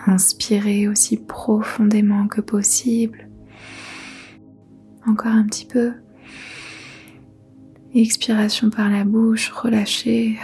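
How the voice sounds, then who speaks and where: A woman leading a guided yoga nidra meditation in a soft, breathy near-whisper, soothing and gentle. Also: medium pitch. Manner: soothing, gentle, unhurried instruction.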